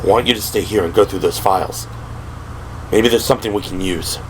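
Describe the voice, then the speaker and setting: A man playing a steady, reassuring male special-police officer in an audio drama, serious but gentle and soothing. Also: fairly low pitch, a little fast, slight background noise, voice pushed down, lightly acted, poor audio. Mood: serious, decisive. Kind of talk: audio drama character acting. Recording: music behind the voice.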